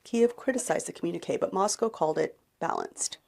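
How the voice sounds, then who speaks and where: A woman correspondent delivering a measured news report. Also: on the low side, lively intonation, gravelly, darkish tone.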